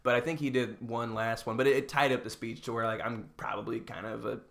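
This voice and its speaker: A man's voice: mid-range voice, steady tone, fast talker, gravelly, fairly bright tone. A young man co-hosting a podcast, telling anecdotes casually with a jokey, mildly sarcastic manner. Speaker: podcast co-host.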